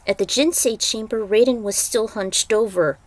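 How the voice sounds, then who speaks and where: A woman's voice: medium pitch, moderate pace, no echo. A woman reading a piece of fantasy fiction aloud as a dramatic narrator.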